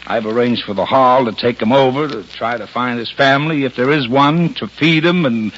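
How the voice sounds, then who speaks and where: An actor playing a gruff but soft-hearted police lieutenant in a 1950s American radio drama, emphatic and exasperated, with an American accent. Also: male voice, medium pitch, moderate pace, close to an everyday voice. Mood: urgent, earnest. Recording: muffled vintage radio recording.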